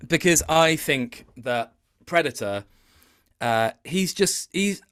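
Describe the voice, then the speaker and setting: Bright-voiced man, hesitant and rambling. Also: fairly high pitch, expressive, slow pace, clear, resonant tone. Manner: hesitant, rambling, self-interrupting with repeated words. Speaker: voice actor, interview guest. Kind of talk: casual chat.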